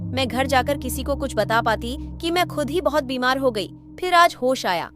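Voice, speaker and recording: Young village heroine, brave but worried, highish earnest voice, cartoon drama over soft music. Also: female voice, animated, normal speed. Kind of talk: Hindi cartoon story acting. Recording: faint music behind.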